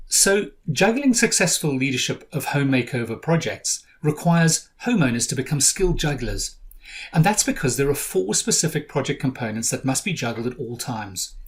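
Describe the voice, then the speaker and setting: Storytelling man hosting a podcast. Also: medium pitch. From South Africa. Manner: storytelling with dry humor. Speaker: podcast host. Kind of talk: scripted podcast narration.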